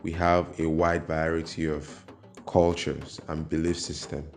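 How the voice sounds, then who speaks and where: A man from Nigeria, hosting his own channel and explaining folklore to viewers in a measured way, often pausing on fillers. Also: extremely low pitch, flat delivery. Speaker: YouTube host. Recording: echoey room with faint music.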